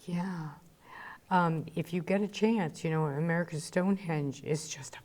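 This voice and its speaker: A woman's voice: lowish voice, normal speed, a little mellow. A woman hosting a radio talk show, chatty and eager, telling anecdotes and asking her guest questions. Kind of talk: casual interview chat.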